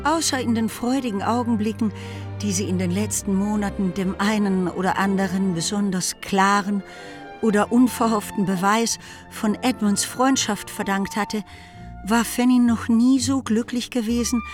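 A woman narrating a literary radio drama in a measured, composed, scripted style. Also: mid-range voice, steady tone, music in the background.

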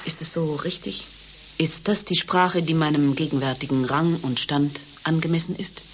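A woman's voice: low-pitched, fairly even intonation, normal speed, a little hiss or hum, natural voice. A woman playing a foul-mouthed abbess with a shady past in a satirical radio play, swinging theatrically between curses and pious apology. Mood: contrite, plaintive. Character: foul-mouthed abbess, reformed prostitute, falsely contrite.

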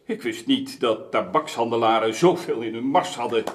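An actor playing a sceptical, sarcastic gentleman councillor, formal and mocking, in a period radio drama. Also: mid-range voice, moderate pace, noisy background, lightly acted.

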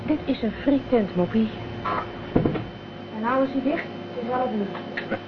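A woman's voice: fairly high pitch, unhurried, natural voice. A woman playing a brash, blunt, streetwise chip-shop girl in a Dutch radio drama. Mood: brash, wary. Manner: blunt, cheeky. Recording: dull old radio recording, faint noise.

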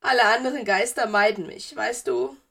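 A woman's voice: on the high side, steady tone, moderate pace, gravelly, a bit bright, pitched above the performer's own voice. A woman voicing a whiny, self-pitying, lovesick teenage girl ghost in an audiobook, melodramatic and wheedling. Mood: plaintive. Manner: sobbing, wheedling, melodramatic complaining. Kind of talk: audiobook character voice.

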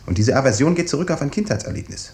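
An actor playing a dutiful, thoughtful junior doctor in a 1950s German radio drama, matter-of-fact and deferential. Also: male voice, a little high, animated, very fast, darkish tone, natural voice. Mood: earnest explaining.

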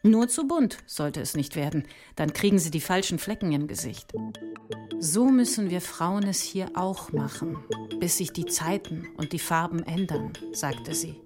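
Casting: actress as imaginative, pensive female thinker, slightly low voice, deliberate poetic delivery, radio play with music. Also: natural voice. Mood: reflective. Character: thoughtful, imaginative woman mathematician musing in metaphors. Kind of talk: literary audio drama monologue. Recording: music under the voice.

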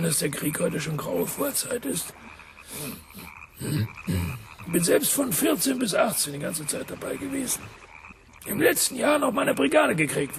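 Radio drama: gruff, gossipy old officer, heavily creaky, clipped and cough-prone, clear background noise. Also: male voice, very high-pitched, heavily acted. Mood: blunt, chatty. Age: older. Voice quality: frequent coughing, damaged breath. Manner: clipped telegraphic sentences, military bluffness. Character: gruff old retired British army major, chatty, blunt.

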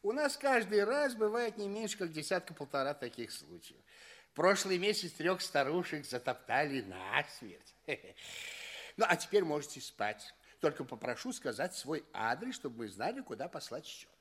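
Alien orderly, high voice, very lively intonation, breezy, matter-of-fact, theatrical audio play. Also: male voice, moderate pace, heavily acted. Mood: breezy, reassuring.

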